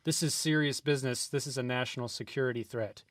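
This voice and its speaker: An actor playing a brusque, impatient government agent who questions a suspect in a comedy radio play. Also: male voice, medium pitch, fairly even intonation, quick pace, no room sound, close to an everyday voice. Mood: exasperated. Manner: exasperated, brusque, repeats himself.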